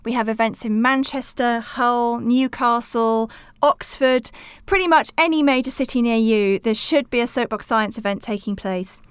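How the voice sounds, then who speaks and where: A woman's voice: a little high, normal speed, smooth, dry recording, studio quality. A woman from Wales giving articulate, explanatory answers as an interview guest on science outreach. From rural Wales.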